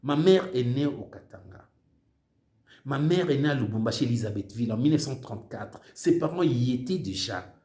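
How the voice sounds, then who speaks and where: A man's voice: mid-range voice, expressive, moderate pace, dark tone. Emphatic older Congolese man, echoey room. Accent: Congolese French. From Democratic Republic of the Congo. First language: Swahili. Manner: emphatic, repeats words while searching for them. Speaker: political speaker. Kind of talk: impassioned political monologue.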